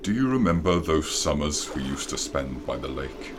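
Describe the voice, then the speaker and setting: A man's voice: very deep voice, sing-song, normal speed, heavy background noise. An actor playing an aging, fanatical alien king and father in a sci-fi audio drama, declaiming solemnly and grandly. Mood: grandiose, reverent.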